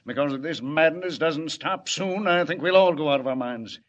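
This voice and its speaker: An actor playing an anxious, gruff, exasperated city commissioner in 1940s radio drama style. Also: male voice, fairly high pitch, moderate pace, natural voice. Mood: desperate. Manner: emphatic, exasperated, repeats himself. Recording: dull vintage radio recording.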